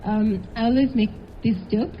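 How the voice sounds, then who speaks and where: Higher-voiced woman introducing herself. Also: steady tone, normal speed, soft background music. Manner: joking, many "um" fillers. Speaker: panelist, managing director. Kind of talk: panel introduction. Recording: dull lo-fi event audio.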